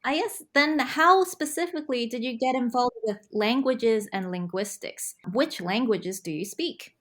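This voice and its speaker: A woman's voice: fairly high pitch, unhurried, fairly bright tone. A woman hosting an academic podcast, introducing her guest and asking friendly, encouraging questions. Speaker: podcast host. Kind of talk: scripted intro then interview questions.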